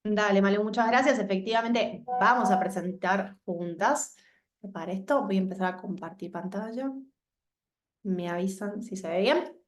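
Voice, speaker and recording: A woman's voice: medium pitch, moderate pace, echoey room. A woman from Argentina, a guest speaker presenting her organization on a video call in a composed, explanatory way.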